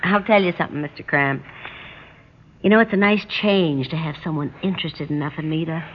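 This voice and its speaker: A woman's voice: low-pitched, a little fast, slightly rough. Wry, weary woman, muffled radio. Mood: weary, candid. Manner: wry, self-deprecating, evasive. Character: weary, lonely, wry woman working as a dancer. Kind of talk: 1960s radio drama acting. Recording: dull, noisy 1960s radio recording.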